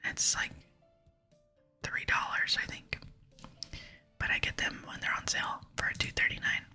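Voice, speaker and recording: An ASMR gaming host whispering casual commentary, softly murmuring thoughts aloud while playing. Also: a little slow, soft background music. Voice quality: soft breathy whisper. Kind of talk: ASMR gameplay commentary, reading dialogue aloud.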